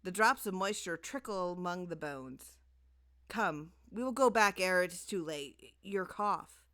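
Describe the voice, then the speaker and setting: Woman as scheming gothic gentleman, courteous, falsely caring, controlled, storybook dialogue. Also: lowish voice, expressive, unhurried. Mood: feigned concern. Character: scheming gentleman feigning solicitous concern, coldly polite.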